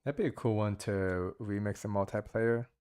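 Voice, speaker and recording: A man's voice: lowish voice, fairly even intonation, moderate pace, darkish tone, clear, resonant tone. A man giving casual, rambling commentary while playing mobile multiplayer games, thinking aloud with many restarts. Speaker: gaming channel host.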